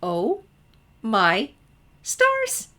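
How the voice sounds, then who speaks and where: An eager, bookish teenage girl, acted out by a woman narrator, pitched well up and easily amazed. She gushes and fires off questions in a breathless, youthful way, as in an animated storybook reading. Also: very slow, exaggerated voice. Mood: amazed, excited. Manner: gasping, gushing, eager questions.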